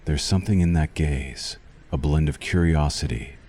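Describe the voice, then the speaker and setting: ASMR vampire physician, male actor: dark velvety tone, unhurried menace, possessive and polite, faint background ambience. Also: extremely low pitch, slight background noise. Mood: clinical, predatory. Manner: slow, deliberate, softly menacing. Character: sinister, possessive male vampire doctor, silkily courteous. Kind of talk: ASMR roleplay addressed to the listener.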